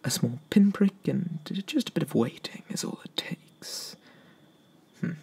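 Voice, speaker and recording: A man speaking softly in a breathy whisper close to the microphone, slow and courteous, roleplaying an eager weapons merchant for ASMR. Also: mid-range voice, big pitch swings. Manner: slow, hesitant, courteous sales patter. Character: polite, eager male weapons merchant obsessed with swords.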